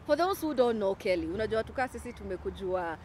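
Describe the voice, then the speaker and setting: A woman's voice: medium pitch, animated, fast talker, background noise. A young Kenyan woman hosting a casual outdoor interview, mixing English with Swahili slang. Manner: chatty, mixes English with Swahili slang, laughs often. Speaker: talk show host. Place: outdoor club lawn.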